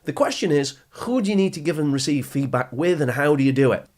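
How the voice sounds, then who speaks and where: A man's voice: a little high, animated, fast, darkish tone. A man hosting a motivational podcast for teenagers, speaking in an upbeat, emphatic coaching manner and addressing the listener directly. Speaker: podcast host.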